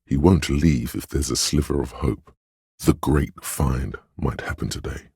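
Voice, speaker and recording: A man voicing a gentle, perceptive inner voice in a video game, narrating others' feelings in a measured, hushed, solemn and compassionate way. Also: rumbling low, husky, no room sound, studio quality, exaggerated voice. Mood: wistful, compassionate. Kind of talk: scripted game narration, intimate inner monologue.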